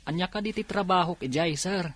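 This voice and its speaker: An actor with an Ilocano accent playing a dutiful, patient young eldest son from a poor farm in a radio drama, earnest and respectful. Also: male voice, high-pitched, fairly quick, close to an everyday voice. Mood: respectful, unsure. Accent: Filipino, Ilocano.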